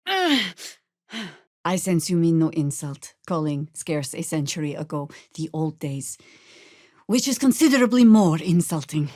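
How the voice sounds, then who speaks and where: Battle-worn older druid for a fantasy game: lowish voice, dry studio sound, wry and measured wording. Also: female voice, sing-song, a little mellow, dry recording, full character voice. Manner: dry, wry, deliberate formal phrasing. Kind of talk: video game character acting.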